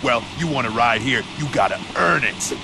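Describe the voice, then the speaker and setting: Creaky-voiced misfit king, sardonic, dismissive, swooping delivery, game cutscene, loud outdoor noise. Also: mid-range voice, animated, normal speed. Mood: suspicious. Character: gruff misanthropic tattooed skate legend, king of misfits. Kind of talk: video game cutscene acting.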